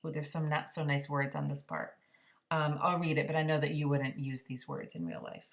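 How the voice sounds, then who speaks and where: A woman reading a children's story aloud expressively, pausing to explain it in simple words. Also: low voice, sing-song, creaky.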